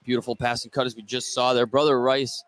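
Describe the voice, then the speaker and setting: A man doing live play-by-play radio commentary of a high school basketball game. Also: level tone, quick pace, fairly bright tone. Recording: noisy gym ambience behind the voice.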